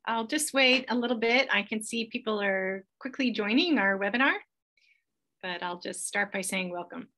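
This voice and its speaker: A middle-aged American woman with a slightly gravelly voice, a professor giving an informal welcome presentation to an online audience. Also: mid-range voice, normal speed, sharp tone. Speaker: professor hosting a welcome webinar. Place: online webinar.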